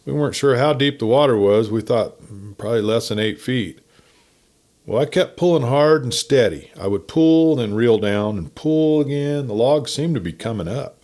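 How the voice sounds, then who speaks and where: A man's voice: medium pitch, expressive, normal speed, darkish tone. Man narrating a folksy campfire tale. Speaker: storyteller reading a written story.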